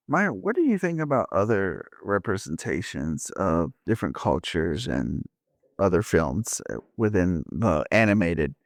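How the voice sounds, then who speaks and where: A man's voice: low-pitched, sing-song, no echo, studio quality. A man chatting on a school podcast, asking questions and restarting his sentences often. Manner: halting, repeats words while searching. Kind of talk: casual chat.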